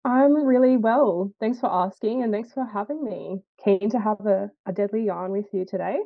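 A young Australian woman from New South Wales speaking as an interview guest, earnest and reflective, with thoughtful pauses. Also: mid-range voice, creaky, no room sound, phone-quality sound. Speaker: lawyer and guest. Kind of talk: interview, personal story.

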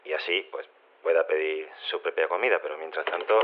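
On a narrow phone line, a low-voiced man in a radio comedy. He talks haltingly and awkwardly, with mild exasperation, as if leaving a voicemail. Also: steady tone. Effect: phone filter.

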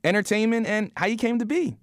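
A man hosts an interview podcast in a high-pitched voice. His delivery is halting, with words repeated as he works his way into each question. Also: animated, dry recording. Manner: halting, with frequent stammered repetitions.

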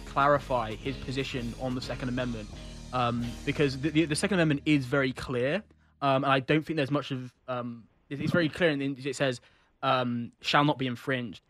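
A man's voice: medium pitch, fairly even intonation, brisk, a bit bright, soft background music. A man with a Jamaican accent arguing insistently on a radio talk show, often repeating himself as he pushes his point. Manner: insistent, interrupts, repeats words. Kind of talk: heated radio debate.

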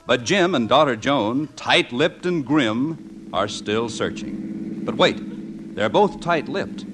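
An actor working as the mock-dramatic announcer and narrator of a 1950s radio comedy. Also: male voice, medium pitch, animated, takes it slowly, music in the background. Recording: vintage 1950s radio broadcast.

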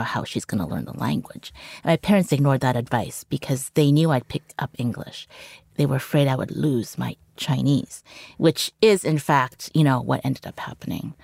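A woman's voice: deep voice, creaky, a little mellow, dry recording. A middle-aged woman journalist from Canada, whose first language was Cantonese, talking reflectively in an interview and often saying "you know". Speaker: journalist guest. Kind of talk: interview conversation.

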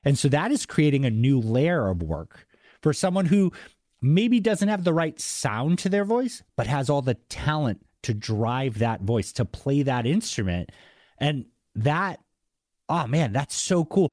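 Male podcast host, light Boston accent, mid-range pitch, enthusiastic and chatty, very dry recording. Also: sing-song, unhurried, a little mellow, no echo. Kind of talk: casual interview chat.

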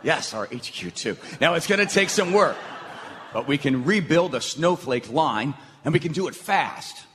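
Animated, earnest young man. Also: fairly high pitch, normal speed. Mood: earnest, persuasive. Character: earnest, eager young man pitching bold plans. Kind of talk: lighthearted radio theatre acting. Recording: noisy, reverberant hall stage recording.

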